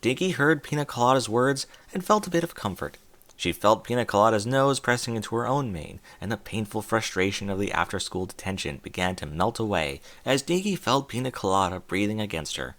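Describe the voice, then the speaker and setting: A man narrates a storybook chapter with a bright, forward tone. His pitch sits a little low but moves around a great deal as he tells it, full of expression. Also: expressive, a little fast. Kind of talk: audiobook fan fiction reading.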